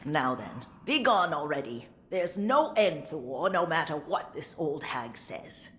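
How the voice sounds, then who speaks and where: Slow, bitter old hag. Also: female voice, low voice, very animated, a bit bright, heavily acted. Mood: bitter, resigned. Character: bitter, world-weary old hag, female. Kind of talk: video game cutscene acting.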